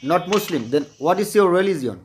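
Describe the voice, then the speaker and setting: A man's voice: high voice, animated, fairly quick, noisy background. A man from Bangladesh with a Bangladeshi accent, hosting a casual video chat in simple, repetitive English full of compliments.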